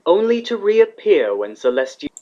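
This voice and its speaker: A man's voice: high-pitched, sing-song, moderate pace, dark tone. A man reading scripted fiction as the narrator of a dramatic story. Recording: slightly dull, lo-fi recording.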